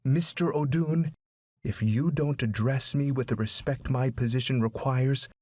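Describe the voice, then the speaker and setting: A stern woman principal in a storybook, read by a man. The delivery is firm, formal and authoritative, with a husky tone and a very dry, close sound. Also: medium pitch, measured pace, warm tone, no room sound, lightly acted.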